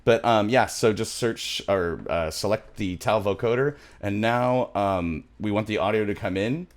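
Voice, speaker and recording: A middle-aged man presenting a music software tutorial in a casual, loose, thinking-aloud way, recorded on a studio mic in a small home room. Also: fairly low pitch, a little slow. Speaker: music production tutorial host.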